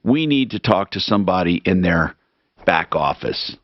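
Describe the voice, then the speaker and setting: A man hosting a talk radio show, helping callers and reading ads in a brisk, salesman-like way. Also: deep voice, sing-song, highs cut off, a little hiss or hum. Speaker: radio host. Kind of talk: talk radio with live reads.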